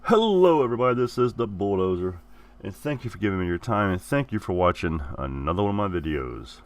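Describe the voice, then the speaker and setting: Man, very low voice, recovering from flu, casual, rambling, self-correcting. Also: expressive, brisk. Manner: rambling, repeats and corrects himself. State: hoarse, getting over the flu. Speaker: gaming channel host. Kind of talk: casual giveaway announcement.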